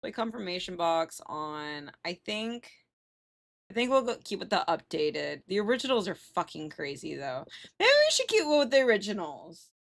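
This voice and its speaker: A woman's voice: lowish voice, very animated, moderate pace, creaky. A woman livestreamer chatting casually while gaming, informal and sweary.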